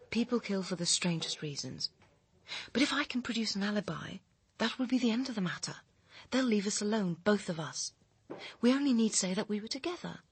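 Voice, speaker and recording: An actress with a British accent playing a bitter, persuasive young personal assistant with secrets in a radio crime drama, speaking in a pressing, cutting, articulate manner. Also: female voice, mid-range voice, slightly rough. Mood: urgent, persuasive.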